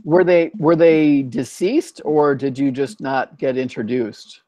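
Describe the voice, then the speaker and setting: A patient man in his fifties chats over a video call through an earphone headset. His voice sits in a middle register and sounds close and dry, with almost no room around it. He speaks plainly and keeps the talk going at an easy, unhurried pace. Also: medium pitch, fairly even intonation, dark tone, no echo. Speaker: host of a conversation practice call. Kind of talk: casual video call chat. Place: home room, indoors.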